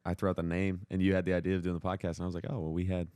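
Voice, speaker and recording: A young man with a deep voice chats casually as a podcast co-host. His talk is informal and conversational. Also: completely flat delivery, racing, mellow, smooth, no echo, clean studio sound. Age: young adult. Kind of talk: casual chat.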